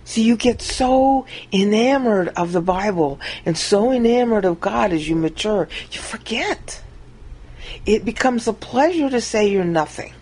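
A woman giving an informal Bible teaching monologue over a screen recording, acting out quoted lines with big jumps in pitch. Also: lively intonation, a little slow, darkish tone, breathy edge, poor audio.

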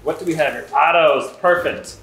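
A man's voice: fairly high pitch, moderate pace, a bit bright, roomy sound. A man giving casual, chatty and enthusiastic commentary as host of a shop's unboxing video. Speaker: aquarium shop host. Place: indoors in an aquarium shop.

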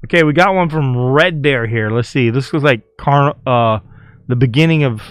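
A man's voice: mid-range voice, moderate pace, clean tone, no room sound. A man hosting a casual reaction video, commenting on game clips and playfully reading captions aloud. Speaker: YouTube host.

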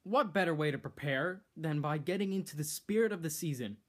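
A teenage boy hosting a comedic skit in a living room, bossy and exasperated, bursting into shouts, recorded on a camera mic a few feet away. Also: fairly high pitch, brisk. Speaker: host. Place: decorated living room indoors.

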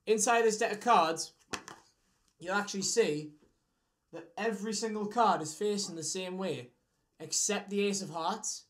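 A man's voice: high voice, a little slow, long pauses, a bit bright. A British man in his twenties chatting casually with strangers as a magician. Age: 20s. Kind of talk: casual chat with strangers on video. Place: small room at home.

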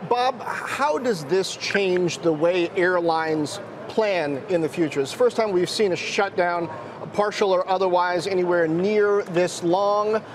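High-pitched male anchor with noisy background. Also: measured pace. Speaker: television news anchor. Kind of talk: live news interview questioning.

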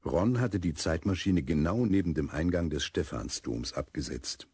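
Man, children's audio drama narrator, extremely low pitch, rough husky tone, dry studio sound. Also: expressive, moderate pace, a little mellow, dry recording. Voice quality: very deep, husky. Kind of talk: scripted children's radio play narration.

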